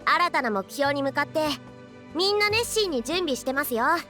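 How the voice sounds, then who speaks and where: Anime game athletics coach: confident, brisk, fast-talking, with animated high delivery. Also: female voice, faint music behind. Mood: energetic. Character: brisk, confident, energetic female athletic coach, tough and proud. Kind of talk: Japanese anime-style game voice acting.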